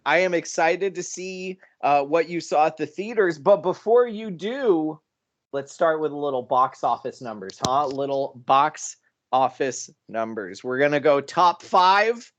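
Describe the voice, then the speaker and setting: A man's voice: on the high side, animated, a little slow, dull audio. A man hosting a podcast in casual, comedic chat, playful and turning announcer-like when he counts down lists. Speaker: podcast host.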